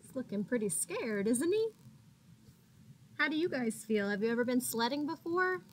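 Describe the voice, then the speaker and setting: A woman teacher reading a picture book aloud to young children, expressively, pausing to put questions to them and gasping for suspense. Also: high voice, a little hiss or hum, poor audio. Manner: expressive, addresses children as friends, gasps for suspense.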